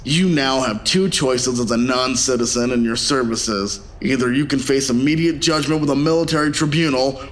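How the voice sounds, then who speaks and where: A man playing a stern ship's captain in an audio drama, grave, measured and commanding. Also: medium pitch, fairly bright tone, close to an everyday voice. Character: stern, authoritative male military ship captain.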